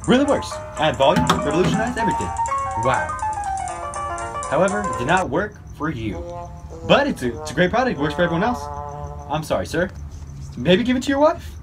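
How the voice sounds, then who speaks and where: A man playing a brash, pushy, insulting pitchman in a comic radio advertisement, loud and badgering.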